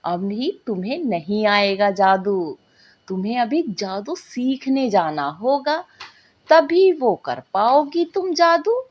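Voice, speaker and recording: A woman voicing a patient, affectionate mother in a magical storybook tale, gently explaining and coaxing. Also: on the high side, expressive, takes it slowly. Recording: dull lo-fi recording.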